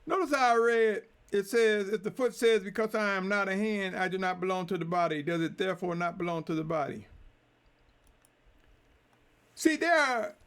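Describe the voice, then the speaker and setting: A man preaching a sermon in a deliberate, emphatic way, drawing out key phrases. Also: high-pitched, a bit bright.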